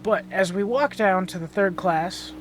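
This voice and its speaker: Young male host, a bit high, gravelly and hoarse, sleepy and casual, swears and fixes his slips. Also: unhurried, music in the background. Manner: stumbles and corrects own words. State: tired, just woke up. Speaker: channel host touring a build. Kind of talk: casual gameplay tour narration. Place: bedroom at home.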